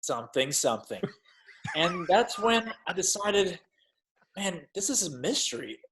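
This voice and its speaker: Brief: comedy guest man with a slightly high voice, deadpan improv delivery. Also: a little slow, noisy background. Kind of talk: improvised storytelling. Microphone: video call.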